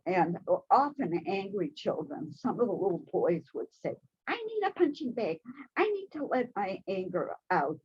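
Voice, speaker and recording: A woman giving a gentle, measured spiritual talk to a church congregation. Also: medium pitch, sing-song, breathy edge, dull audio. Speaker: church speaker. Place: church hall, also streamed to video call.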